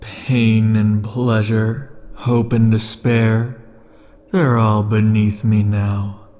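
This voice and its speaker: A man voicing an obsessive, possessive immortal lover in an ASMR roleplay, speaking softly and slowly with dark chuckles, shifting between tenderness and threats. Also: fairly low pitch, little pitch movement. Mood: unhinged.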